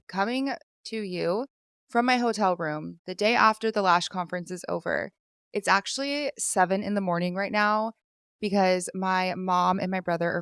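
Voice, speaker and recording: Chatty woman podcaster, smooth dry voice. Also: mid-range voice, fairly bright tone, no room sound. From Vancouver, Washington, USA. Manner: chatty, rambling, full of "like". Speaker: podcast host. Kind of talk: casual solo podcast recap. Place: hotel room.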